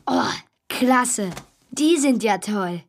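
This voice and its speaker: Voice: mid-range voice, animated, extremely slow, slight background noise. A young performer playing a bewildered, polite and curious schoolboy in a children's radio play, hesitant and natural.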